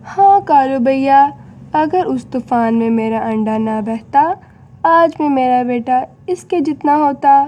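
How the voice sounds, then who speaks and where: A woman voicing a gentle, earnest mother sparrow in a cartoon. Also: sits high, expressive, slow pace. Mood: gentle, wistful. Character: gentle mother sparrow grieving her lost chick.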